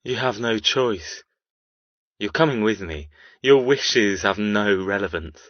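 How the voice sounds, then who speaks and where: Casting: male actor for a menacing, controlling audiobook villain, formal and quietly threatening, lowish pitch, dull close-dry recording. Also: animated, slow, dry recording. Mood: mocking, commanding. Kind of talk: audiobook character dialogue.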